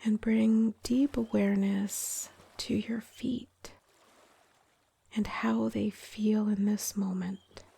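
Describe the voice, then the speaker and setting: Female meditation guide, whispery and soothing, mellow tone, very even delivery with gentle pauses. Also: mid-range voice. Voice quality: breathy, whispery. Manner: calm, soothing, gentle, unhurried with long pauses. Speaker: meditation guide, yoga teacher. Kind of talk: guided meditation, soft-spoken.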